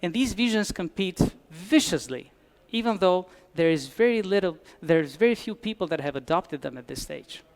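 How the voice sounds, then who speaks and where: A man's voice: sits high, expressive, moderate pace, hardly any pauses. A man from Albania, giving a conference talk with dry humor and frequent restarts. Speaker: conference speaker. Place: conference hall.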